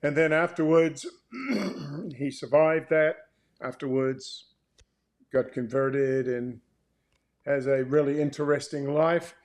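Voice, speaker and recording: A man talking informally as an author and co-host, explaining things in a measured, halting way. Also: on the high side, darkish tone.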